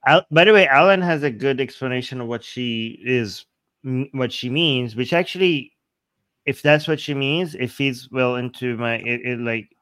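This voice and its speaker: Male panel host, sarcastic and quick to mock-quote; mid-range voice, dead-dry sound. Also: medium pitch, fairly bright tone, smooth, no echo. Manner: sarcastic, mocks arguments with quick imitated quotes. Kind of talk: casual online panel discussion.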